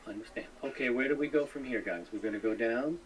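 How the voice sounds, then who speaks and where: A man's voice: mid-range voice, fast talker, soft background music. A man casually hosting a live stream, talking to guests and viewers as he works the screen. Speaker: live-stream host running the screen share. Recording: clearly noisy feed.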